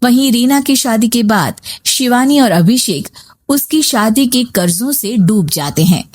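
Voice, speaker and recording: High-voiced woman narrating a family story. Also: animated, a little slow, darkish tone. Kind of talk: scripted narration of a Hindi moral family story.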